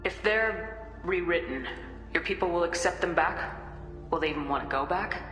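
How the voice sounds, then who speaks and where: An actress playing a decisive, questioning military commander in a video game, speaking in a direct, terse way. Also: female voice, fairly low pitch, normal speed, fairly bright tone, reverberant, long reverb tail, soft background music, natural voice. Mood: probing, resolute.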